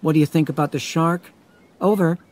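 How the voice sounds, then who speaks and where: A man's voice: a little high, fairly even intonation, fairly quick, a little mellow, pitched above the performer's own voice, natural voice. A man voicing a dutiful, by-the-book policeman radioing in during an audiobook story. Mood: earnest, brisk. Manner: earnest, procedural. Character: dutiful, by-the-book young policeman on walkie-talkie.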